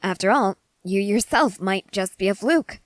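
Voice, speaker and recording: A woman playing an excitable, curious scientist in a scripted ASMR roleplay, speaking in eager, wordy, formal and apologetic phrases. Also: medium pitch, moderate pace, no echo, studio quality, lightly acted. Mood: musing, apologetic.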